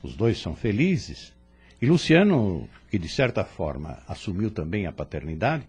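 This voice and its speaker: A man playing a wise, serene spirit instructor in an audio drama, teaching in a solemn, measured way. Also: on the low side, very animated, darkish tone, slightly rough, heavily acted. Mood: serene, explaining. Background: music.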